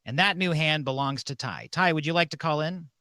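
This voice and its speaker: A man's voice: fairly high pitch, brisk, bright, forceful tone, no echo, studio quality. A man casually hosting a comedic ceremony on a livestream. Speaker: host of a comedic mock-church livestream.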